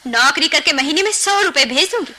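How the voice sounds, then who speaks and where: An actress playing a young bride, an outspoken and pleading younger sister, in a Hindi radio play. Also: female voice, high-pitched, expressive, fairly quick, bright, forceful tone. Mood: hurt, defiant.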